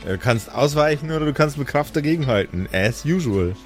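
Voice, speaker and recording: A man with a slightly high voice runs an improvised tabletop fantasy game as game master, moving between rules and narration. Music plays faintly underneath. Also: expressive, fairly quick.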